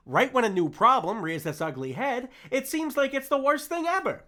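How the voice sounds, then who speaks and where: Wisecracking meerkat sidekick, nasal and forceful, lively pitch swings, wry pep-talker for a cartoon-style comfort roleplay. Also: male voice, normal speed, full character voice. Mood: earnest pep talk. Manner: wry, pep-talking, streetwise. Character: fast-talking, wisecracking meerkat sidekick, exasperated but caring. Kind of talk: comforting character roleplay with impressions.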